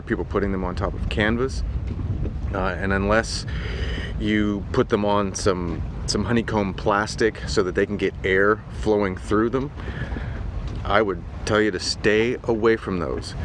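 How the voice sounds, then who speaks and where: A man in his forties talking casually and matter-of-factly to camera in halting phrases, explaining gear like a vlogger. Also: sits low. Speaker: sailing vlogger reviewing equipment. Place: outdoors on a sailboat deck at anchor. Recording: windy outdoor boat deck noise.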